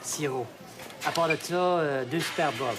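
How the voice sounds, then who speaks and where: A woman's voice: deep voice, a little slow, music in the background. An actress playing a chatty woman customer ordering food, friendly and fussy, with a Québécois French accent.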